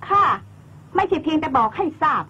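A woman's voice: high-pitched, lively intonation, fairly quick, bright, forceful tone, muffled recording. A woman playing a blunt young woman friend who scolds and insists sharply. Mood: indignant.

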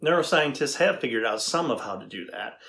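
A middle-aged man playing a thoughtful AI researcher in a dramatized interview, deliberate and conversational as he probes. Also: mid-range voice, lively intonation, gravelly, fairly bright tone. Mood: explanatory, thoughtful. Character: thoughtful male AI researcher questioning a machine. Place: indoor room with backdrop, seated.

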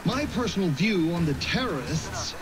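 A man's voice: high-pitched, sing-song, moderate pace, low-quality recording. An actor playing a smug, venomous male news anchor in a video game, with a pompous, sneering delivery. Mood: disgusted. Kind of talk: mock news commentary. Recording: game audio under music.

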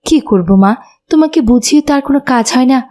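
A worried cartoon mother, acted by a woman. She sounds resigned and weary, complaining in a fairly high voice. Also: animated, quick pace, dark tone. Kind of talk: cartoon dialogue.

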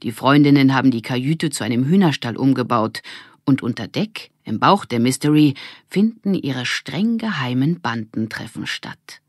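A woman reading a children's audiobook as narrator, with expressive scripted storytelling. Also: a bass voice, moderate pace, no room sound, clean studio sound.

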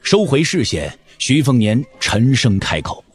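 A man narrating an audio drama with dramatic, expressive scripted storytelling. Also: mid-range voice, a little slow, slightly rough.

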